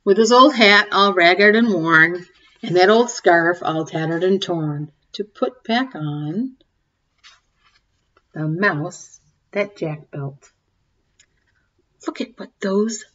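A woman in her forties reading a picture book aloud to young children, expressively, pausing to ask them questions and comment. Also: medium pitch, a bit bright, highs cut off. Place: small home room, indoors. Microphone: webcam or laptop mic at desk distance.

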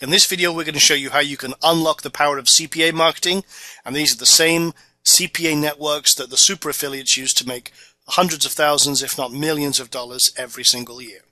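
A middle-aged Scottish man talks through a marketing course with a very bright, sharp-edged tone. His pitch sits a little high, and he sounds loose and salesy, full of "uh" and "you know". The recording is dry and close, with no room sound. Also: fairly even intonation, quick pace, no room sound. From Orkney Islands, Scotland. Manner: casual, filler-heavy sales pitch. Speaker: online course presenter. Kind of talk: narrated slideshow course video.

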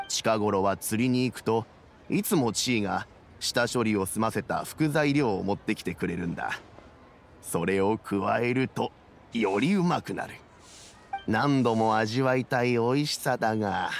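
An actor playing a kindly, dignified old man in a game, speaking with measured, elderly diction. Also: fairly low pitch, slight background noise. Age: older. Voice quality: gravelly. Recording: background music under the voice.

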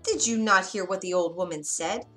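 A woman's voice: medium pitch, moderate pace, bright, forceful tone, faint music behind, lightly acted. Woman voicing a haughty, hostile tomcat. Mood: indignant. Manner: indignant, challenging.

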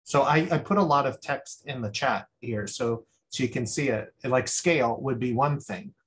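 A man's voice: medium pitch, normal speed. A man giving rambling, thinking-aloud feedback as a guest critic at a design review over a video call. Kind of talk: spoken feedback on a student project.

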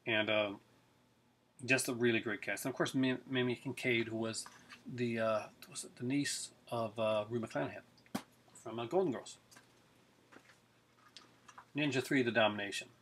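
A man's voice: mid-range voice, fairly even intonation, moderate pace, long pauses, fairly bright tone, a little hiss or hum. A middle-aged man talking casually and eagerly about his film collection, rambling with many fillers, recorded in his living room on a webcam. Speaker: collector reviewing films. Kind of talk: casual video review. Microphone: webcam at close range.

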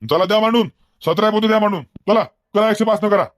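A man's voice: high-pitched, fast, dry recording, lightly acted. A man voicing an impatient cartoon market buyer, blunt, nagging and folksy, in comedic dialogue. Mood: insistent bargaining. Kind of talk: comedic cartoon dialogue in colloquial rural Marathi.

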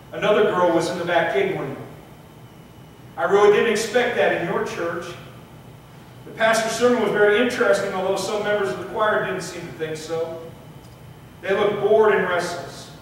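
A middle-aged man preaching from a pulpit in a church sanctuary, earnest and measured, reading scripture and praying. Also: high-pitched, recorded from a distance, a little hiss or hum. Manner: earnest, measured delivery with occasional slips and restarts. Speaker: pastor. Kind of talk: sermon with scripture reading and prayer. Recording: echoey sanctuary audio picked up from afar.

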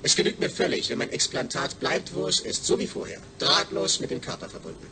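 A man's voice: medium pitch, wildly swooping pitch, fairly quick, gravelly, bright, forceful tone, slight background noise, full character voice. A man playing an eager, needy astronaut's brain speaking emphatically through a speech synthesizer in a radio drama. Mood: insistent. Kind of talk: German radio drama acting.

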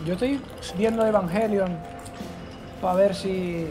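A Canarian man in his thirties streaming a game, joking and teasing his friends in quick banter over a headset. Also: high voice, steady tone. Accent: Canarian Spanish. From Canary Islands. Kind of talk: casual chat while gaming. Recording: game music behind.

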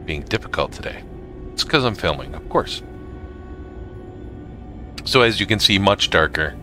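A man narrating a casual software tutorial for gamers in a halting way, often pausing mid-sentence. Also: deep voice, expressive, faint music behind. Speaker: gamer making a tutorial video.

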